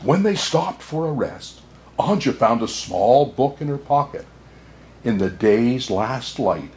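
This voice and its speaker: A man playing a kindly, jolly old Santa Claus, reading a children's storybook aloud in an expressive way. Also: mid-range voice, a little hiss or hum. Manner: unhurried, chuckling asides, expressive storytelling.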